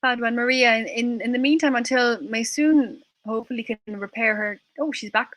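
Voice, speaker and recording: A woman's voice: fairly high pitch, brisk, fairly bright tone, no room sound, low-quality recording. An Irish woman hosting a livestream event and introducing guests. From Ireland. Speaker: event host.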